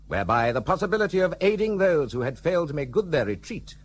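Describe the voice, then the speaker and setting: A stern, swooping court-martial judge. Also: male voice, fairly high pitch, sing-song. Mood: stern, solemn. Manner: formal, measured, declamatory. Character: stern, solemn military court president reading a verdict. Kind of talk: period radio drama acting. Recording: faintly noisy recording with hum.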